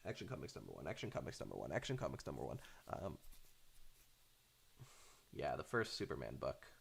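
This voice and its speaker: A man chatting casually in a halting, hesitant way, rambling off on tangents. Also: a bass voice, wildly swooping pitch, vocal fry, slightly rough. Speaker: fandub creator talking off the cuff.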